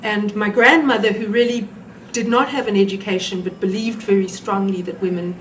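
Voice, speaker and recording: A woman with a South African accent, telling her life story thoughtfully with pauses. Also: medium pitch, fairly even intonation. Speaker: interviewee. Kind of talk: reflective personal storytelling. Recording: roomy sound, mic at a distance, faint noise.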